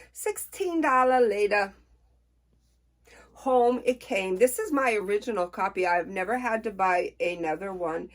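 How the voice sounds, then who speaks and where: A middle-aged woman chatting casually to camera about books, unhurried and folksy, with a playful, expressive way of talking. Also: medium pitch. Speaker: book reviewer on a personal channel.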